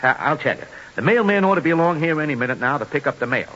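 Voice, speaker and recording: An actor playing a folksy, friendly small-town station agent who talks with a chuckling drawl, in 1940s radio acting. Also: male voice, on the high side, lively intonation, rapid-fire, fairly bright tone. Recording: dull old radio broadcast with faint hiss.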